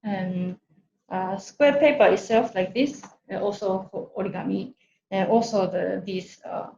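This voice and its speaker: Slow, hesitant Japanese-accented woman, echoey room. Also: medium pitch, a little mellow, dull audio. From Tokyo, Japan. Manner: hesitant, searching for words in a second language. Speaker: workshop speaker. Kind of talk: informal online presentation. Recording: roomy echo, clear background noise.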